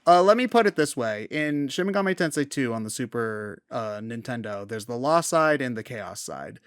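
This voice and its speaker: Guy co-hosting game commentary, mid pitch, rants a lot, animated, swears casually. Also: normal speed, no room sound, studio quality. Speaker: co-host of a video game commentary show. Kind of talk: casual opinionated commentary chat.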